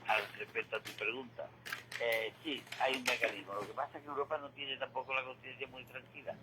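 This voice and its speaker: A man's voice: mid-range voice, fairly even intonation, a little fast, very noisy. A man with an Andalusian accent giving conversational economic commentary on radio, emphatic and didactic, repeating key figures to drive them home. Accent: Andalusian Spanish. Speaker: radio commentator on current affairs. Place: local radio studio.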